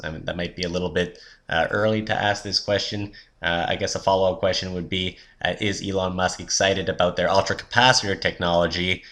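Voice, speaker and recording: A man with a very low voice talks through prepared questions as an investing show host. His delivery is hesitant, broken up often by "uh" as he explains his thinking. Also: fairly bright tone. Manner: hesitant, frequent "uh" pauses.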